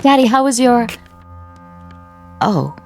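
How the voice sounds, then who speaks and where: An actress playing a polite grown daughter in a radio drama. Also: female voice, fairly high pitch, very animated, slow, faint music behind, full character voice. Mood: surprised, polite.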